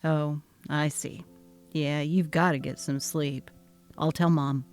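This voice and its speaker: A woman voicing a young husband who talks calmly and dismissively. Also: sits low, slow, soft background music. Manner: calm, brushing off, patronizing. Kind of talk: dramatic reading of a text-message story.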